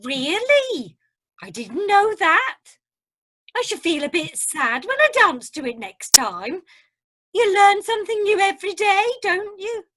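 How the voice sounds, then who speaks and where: A woman's voice: extremely high pitch, very animated, measured pace, a bit bright, full character voice. A woman playing a chatty, nosy cruise passenger who loves gossip, in an amateur radio drama. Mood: chirpy, interested. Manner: gossipy, chirpy.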